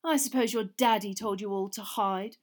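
A woman's voice: medium pitch, moderate pace, close to an everyday voice. A woman reading aloud and voicing a sneering teenage schoolboy wizard with a lazy drawl.

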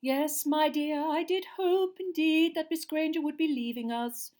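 A woman voicing a dreamy, mystical older female divination teacher, murmuring slowly and theatrically. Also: sits high, a little mellow, pitched above the performer's own voice. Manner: slow, breathy, theatrical murmuring.

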